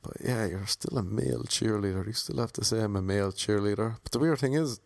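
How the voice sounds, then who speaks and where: A man's voice: on the low side, a little fast, creaky, darkish tone, no echo. A young man with an Irish accent telling wry, self-mocking stories about his auditions in a casual monologue. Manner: wry, self-mocking, playfully imitating others.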